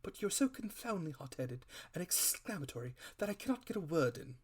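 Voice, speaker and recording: A man voicing a calm, genial gentleman doctor in a storybook reading, measured and courteous. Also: a little high, breathy edge, pitched above the performer's own voice. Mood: measured, probing.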